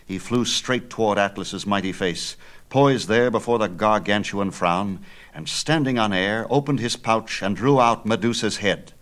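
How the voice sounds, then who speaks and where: A man narrating a myth as scripted storytelling, with theatrical, declamatory delivery in measured phrases. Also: lowish voice, a bit bright.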